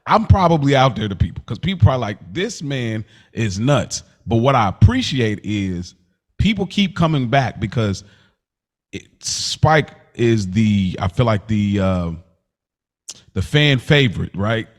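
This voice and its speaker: An American man with a medium-pitched voice chats as a podcast co-host. His talk is loose and colloquial, in the easy style of an informal conversation. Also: very animated, unhurried. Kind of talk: casual chat.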